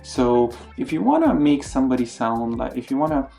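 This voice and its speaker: A young man chats through quick phrase tips in a loose, playful way. His tone is mellow and warm, and he often jumps up in pitch when he acts out a greeting. Soft music plays faintly underneath. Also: big pitch swings, creaky. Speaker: language learning vlogger. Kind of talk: casual language tips to camera.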